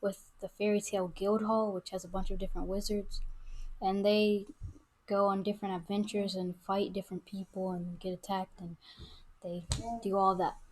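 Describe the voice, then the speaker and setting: Halting, informal female podcast guest. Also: medium pitch, level tone. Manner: informal, halting, thinking aloud. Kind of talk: casual chat.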